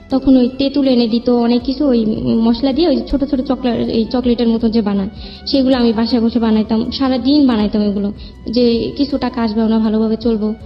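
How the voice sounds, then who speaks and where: A young woman with a Bangladeshi accent tells her life story in an interview in a colloquial, rambling way. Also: fairly high pitch. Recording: muffled lo-fi audio, music behind. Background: background music.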